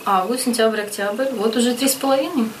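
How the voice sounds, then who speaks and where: A woman with a fairly high voice chats as an interview guest, often laughing and filling pauses as she goes. She sounds set back from the microphone, with faint room noise around her. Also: recorded from a distance, rough recording. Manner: chatty, laughs often, hesitant fillers. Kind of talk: casual interview.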